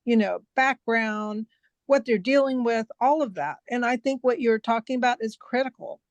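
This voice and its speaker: A woman's voice: fairly high pitch, moderate pace, no echo. A woman taking part in a webinar discussion over a video call, speaking in a thoughtful, conversational way. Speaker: webinar participant.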